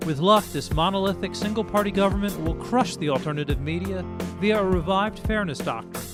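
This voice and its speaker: A man's voice: high voice, fairly quick, over music. A man narrating a scripted video essay as its host, reading in the style of political media commentary.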